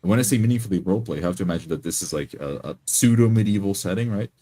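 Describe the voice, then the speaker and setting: Deep-voiced man, rough husky tone, casual chat, insistent explaining, seeks agreement. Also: very fast, dark tone. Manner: insistent, explanatory, checks with "right?". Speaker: tabletop roleplay player. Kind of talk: casual discussion.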